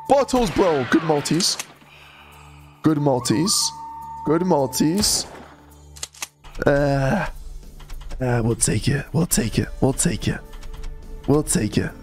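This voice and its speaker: A young man streaming an online slot game, chatting to viewers and breaking into loud yelps when it pays, though he is sick and losing his voice. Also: a little high. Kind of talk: live commentary over online slot play.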